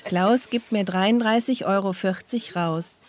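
A woman's voice: medium pitch, a little slow, a little mellow. A woman reading scripted documentary narration in a precise, matter-of-fact manner. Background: music.

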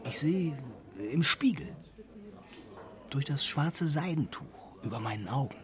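A secretive bar pianist in a radio drama, with a fairly high, very mobile voice. He is casual and evasive, tending to drop toward a conspiratorial hush. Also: expressive, unhurried, crowd noise. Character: secretive, cagey bar pianist, an old acquaintance. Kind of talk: radio play acting.

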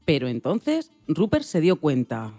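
A narrator telling a scripted children's story with expressive delivery. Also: mid-range voice, measured pace, faint music behind.

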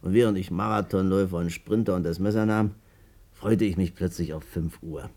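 An actor playing a chatty, plain-spoken, good-hearted barber in a colloquial, familiar manner in a 1950s German radio play. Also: male voice, low-pitched, fairly even intonation, brisk, creaky, darkish tone. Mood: confiding.

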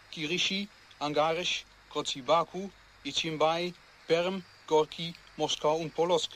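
A man's voice: a little high, a bit bright, a little hiss or hum, lightly acted. A man acting in a radio play as a sober wartime newsreader with formal, list-like delivery.

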